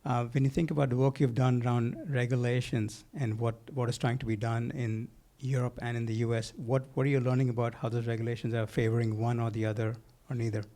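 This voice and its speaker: A man's voice: mid-range voice, little pitch movement, brisk, few pauses, warm tone. A man moderating a conference panel, asking questions hesitantly with plenty of fillers. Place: conference stage.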